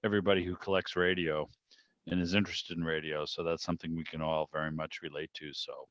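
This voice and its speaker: Low-voiced, chatty man, dull call audio. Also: flat delivery, fast talker, a bit bright, no echo. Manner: chatty, courteous. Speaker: meeting host. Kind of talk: casual, conversational hosting. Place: video call.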